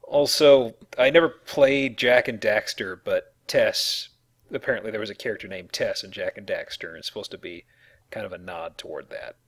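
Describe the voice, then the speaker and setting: A man's voice: fairly low pitch, normal speed. A man giving casual, rambling game commentary with hesitant self-corrections. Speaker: let's play commentator.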